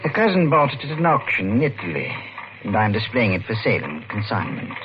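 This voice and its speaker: A courteous, excitable London clockmaker in a vintage radio play. His mid-pitched voice swings animatedly. The recording is dull and noisy. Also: fairly quick. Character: courteous, easily alarmed London clockmaker shopkeeper. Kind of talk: 1940s radio drama acting.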